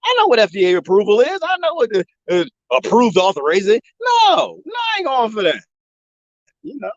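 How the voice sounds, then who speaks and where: Lively high-voiced guy joking around outside, dull dry phone sound. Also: brisk, dry recording. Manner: animated, joking, imitates bird chirps. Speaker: live streamer. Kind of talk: rambling outdoor live stream. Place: outdoors in the desert.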